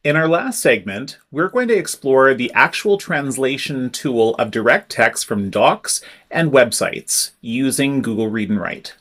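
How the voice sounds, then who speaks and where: A man presenting a step-by-step software tutorial, explaining each click in a clear, instructive way. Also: medium pitch, animated, unhurried, hardly any pauses. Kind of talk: screen-recorded software tutorial.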